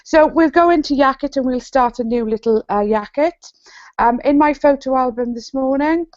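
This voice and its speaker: A woman's voice: high voice, normal speed, darkish tone, no echo. A woman from South Wales with a Welsh accent, an author and trainer giving an enthusiastic app demo on a video call. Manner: bubbly and enthusiastic. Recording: dull lo-fi video call audio.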